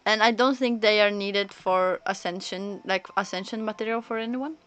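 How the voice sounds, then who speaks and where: A woman's voice: mid-range voice, normal speed, fairly bright tone. A woman streaming a game, commenting casually in a chatty, rambling, hesitant way. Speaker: livestreamer.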